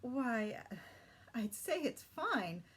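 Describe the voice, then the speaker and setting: A woman's voice: fairly high pitch, big pitch swings, drawn-out words, a bit bright, husky, voice pushed up, heavily acted. A woman voicing a cheerful, good-humoured lady customer in a children's storybook. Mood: amused.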